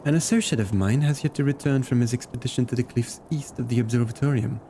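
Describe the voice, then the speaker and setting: A man from Belgium reading game dialogue aloud as a formal, cautious fantasy gentleman with measured, archaic wording. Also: medium pitch, slight background noise, lightly acted. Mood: polite, earnest.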